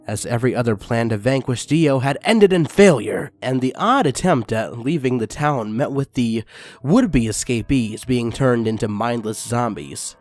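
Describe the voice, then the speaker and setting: Man, story reader, channel host, lively pitch, excitable crude asides, faint music behind. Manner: excitable and crude in the intro, then steady reading. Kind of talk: reading fanfiction aloud.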